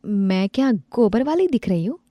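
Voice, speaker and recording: A woman's voice: medium pitch, a little fast, no room sound, muffled recording, lightly acted. A woman voicing a stubborn young village daughter-in-law who defends herself insistently. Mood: defiant.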